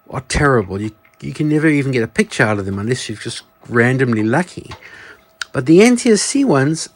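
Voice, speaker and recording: Male video host, slightly high pitch, very animated intonation; chatty, unscripted show-and-tell with musing asides. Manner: chatty, musing aloud with self-corrections. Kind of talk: casual show-and-tell product demonstration.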